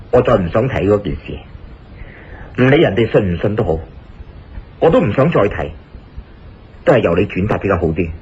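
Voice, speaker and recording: A man's voice: fairly low pitch, sing-song, normal speed, pauses often, a little mellow, slightly rough, highs cut off, full character voice. A man playing an anxious, unstable murder suspect who pleads, in a Cantonese radio drama. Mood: resigned.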